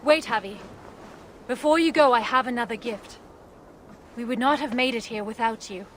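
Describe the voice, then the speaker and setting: A woman's voice: on the high side, moderate pace, natural voice. A woman playing an earnest, grateful dwarf ally in a video game, sincere and direct. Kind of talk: video game cutscene acting. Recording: faint ambient noise.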